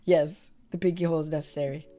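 A young woman in her twenties streaming live from a small bedroom, hoarse from yelling, bubbly and effusive as she thanks viewers over a headset mic. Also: deep voice, background noise. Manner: bubbly, effusive, quick swings to excited squeals.

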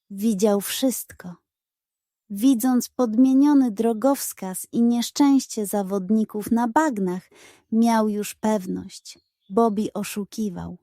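A woman's voice: mid-range voice, mellow, no echo, studio quality. A woman narrating a scripted bedtime story for children.

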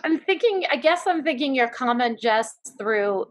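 Woman in her 40s on a video call from her living room, measured academic tone, thinking out loud. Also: high voice, animated. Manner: thoughtful, measured, appreciative. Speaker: discussion facilitator. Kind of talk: reflective academic discussion. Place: living room with couch, indoors.

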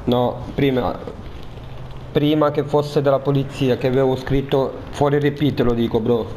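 A man's voice: mid-range voice, fairly even intonation, moderate pace, mellow. A man arguing casually in an online roleplay game. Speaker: player in a GTA roleplay session. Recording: faint background noise.

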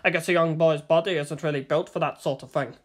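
A cruel male kidnapper from a dark audio story, acted out by the narrator. He is leering and contemptuous. His delivery is menacing and taunting, like a bully in control. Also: medium pitch, steady tone, quick pace, natural voice.

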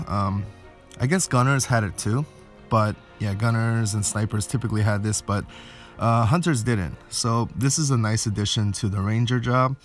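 A man giving a casual, explanatory game walkthrough, thinking aloud as he goes. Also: fairly low pitch. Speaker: gaming video host. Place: indoors, voice-over on game footage. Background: game music.

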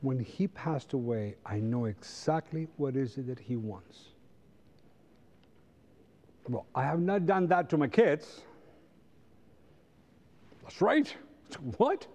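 A man's voice: medium pitch, big pitch swings, slow, long pauses, a little mellow. A middle-aged man with a Costa Rican accent and Spanish as his first language, teaching a church class in a conversational way with rhetorical questions. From Costa Rica. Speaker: Sabbath school teacher. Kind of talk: church lesson to a congregation. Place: large church sanctuary, standing before pews.

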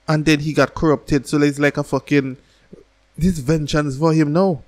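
A man in his thirties hosting a casual reaction video, talking in an animated, slangy way with constant swearing and repeated words. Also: a little high, normal speed. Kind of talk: casual excited reaction commentary. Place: small furnished room at home. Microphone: close desk microphone on boom arm.

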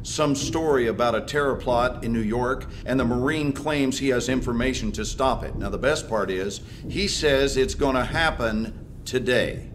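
A man playing a sardonic, controlled government agent leading an interrogation in a video game, speaking in a measured, probing way. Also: mid-range voice, noisy background, natural voice. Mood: dry, wry. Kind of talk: naturalistic video game acting.

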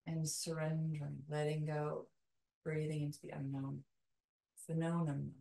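A woman leading a guided meditation in a soothing, calming way, with slow, deliberate phrases and long pauses. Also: low voice, creaky. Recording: roomy echo, distant from mic.

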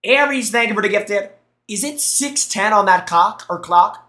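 Excitable young man streaming in a roomy echo. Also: high voice, moderate pace, slight background noise. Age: young adult. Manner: excitable, teasing, thanks chat between jokes. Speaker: streamer reacting to gameplay clips. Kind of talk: casual live-stream commentary. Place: small room indoors. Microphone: headset mic.

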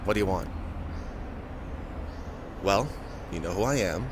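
A man playing an injured, famous musician who is guarded, halting and sarcastic, in an audio roleplay spoken to the listener. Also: deep voice, expressive, background noise. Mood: sarcastic, suspicious.

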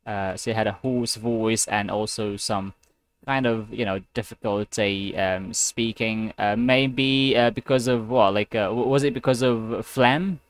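A man's voice: lowish voice, fairly even intonation, a little slow. A young man teaching an online one-on-one English class over a headset mic, patiently rephrasing and spelling out words. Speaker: English tutor. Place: home room, video call. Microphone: gaming headset with boom mic.

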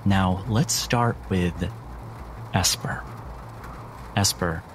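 A man's voice: deep voice, very slow, a little hiss or hum. A man narrating a tabletop roleplaying game as the game master. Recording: music bed under the voice. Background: background music.

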